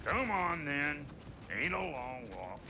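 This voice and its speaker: An actor playing a gruff, folksy dwarf blacksmith in a video game, chatty and gravelly. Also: male voice, on the high side, very animated, slow, background noise, full character voice. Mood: jocular, chatty.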